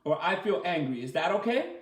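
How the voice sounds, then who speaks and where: Man, high voice, children's story-time teacher, animated question-and-answer delivery, echoey room. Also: brisk. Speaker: martial arts teacher reading a storybook to children. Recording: roomy, echoey sound.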